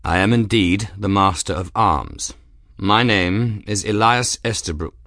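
A man's voice: lowish voice, fairly bright tone, dry recording, studio quality. Man voicing a grave, ceremonious feline warrior. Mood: dignified. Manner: measured, ceremonious. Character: grave, formal feline warrior, male master of arms. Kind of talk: audiobook character voice.

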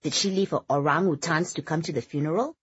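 A woman's voice: low voice, fast talker, husky, no echo, muffled recording. A woman voicing a coquettish, pushy rich socialite in an audiobook, with a chirpy, wheedling way of talking. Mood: insistent. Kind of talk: audiobook character dialogue.

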